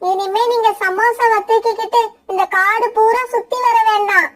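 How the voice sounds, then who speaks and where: A woman's voice: very high-pitched, steady tone, normal speed, fairly bright tone, voice pushed up. A woman voicing a hardworking, hot-tempered young male sparrow in a cartoon, speaking earnestly and firmly.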